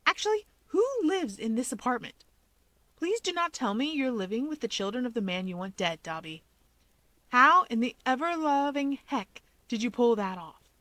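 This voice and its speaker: A woman reading a story aloud as narrator, with expressive scripted delivery. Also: fairly high pitch, moderate pace, no echo.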